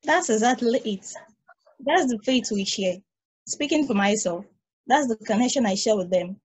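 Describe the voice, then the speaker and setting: A woman voicing a calm, philosophical ageless probe, explaining with patient reasoning in a husky voice over a video call. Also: a little high, normal speed.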